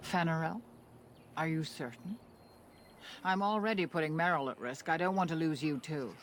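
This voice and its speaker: An actor voicing a solemn, protective elven clan keeper in a fantasy video game. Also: male voice, on the high side, moderate pace, fairly bright tone, a little hiss or hum, natural voice. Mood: concerned, formal.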